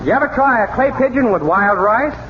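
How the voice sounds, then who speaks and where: A man's voice: very high-pitched, expressive, a little fast, very noisy. Casting: male singer-sidekick for light, scripted 1930s radio comedy banter, heard through a dull old broadcast.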